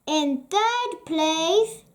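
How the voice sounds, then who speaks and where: Reader doing a silly kids book king, really high voice, slow grand announcing. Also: expressive, exaggerated voice. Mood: proclaiming. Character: silly, ceremonious storybook king announcing prizes.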